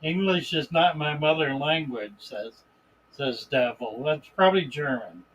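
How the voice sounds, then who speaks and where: A man hosting a live stream in a relaxed, unhurried way, greeting viewers by name and reading their comments aloud. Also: on the high side, dull audio, slight background noise. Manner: relaxed, unhurried, chuckles between remarks. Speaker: livestream host.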